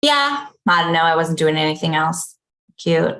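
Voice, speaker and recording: A woman reading the part of an aloof, blunt managing editor in a deadpan, conversational comedy table read. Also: deep voice, normal speed. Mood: unimpressed. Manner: deadpan, unimpressed.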